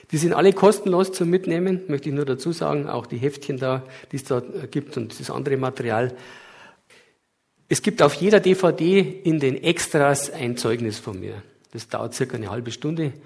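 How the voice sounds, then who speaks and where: Husky Bavarian man giving a lecture. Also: medium pitch. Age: middle-aged. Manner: earnest, explanatory, with occasional hesitations. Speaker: guest lecturer. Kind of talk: public lecture.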